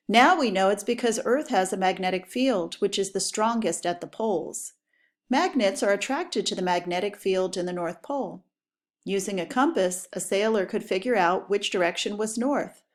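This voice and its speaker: A woman's voice: medium pitch, normal speed. Woman teacher reading a lesson aloud. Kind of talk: educational read-aloud for pupils.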